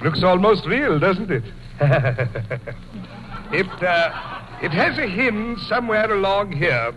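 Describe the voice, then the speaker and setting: High-pitched fussy lecturer, noisy old radio. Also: male voice, lively intonation, slow pace, dull audio. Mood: prim, flustered. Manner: prim, flustered, condescending. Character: fussy male lecturer on bees, pompous, easily panicked. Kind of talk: old-time radio comedy acting.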